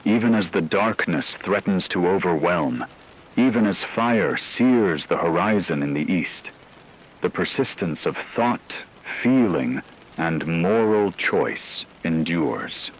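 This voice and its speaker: A man playing a solemn radio broadcaster at the world's end, speaking in a measured, reflective way in a scripted radio drama. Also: deep voice, expressive, a little hiss or hum, low-quality recording. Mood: grave, reflective.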